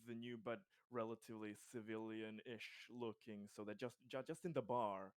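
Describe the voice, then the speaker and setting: A man playing a tabletop game, casually discussing plans in a halting way and often repeating words. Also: lowish voice, steady tone, darkish tone, smooth, no room sound.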